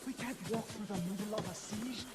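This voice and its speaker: A man playing a timid, gentle young blacksmith in a video game, sounding worried and hesitant. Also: high voice, brisk, natural voice. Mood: fearful, protesting. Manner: worried, hesitant, soft-hearted. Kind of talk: video game cutscene acting. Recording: dramatic music behind the dialogue.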